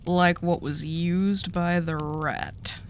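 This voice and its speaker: A woman's voice: lowish voice, steady tone, slow, slight background noise. A woman playing a commanding yet haunted, sardonic female mafia boss in an audio roleplay. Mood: sardonic, serious.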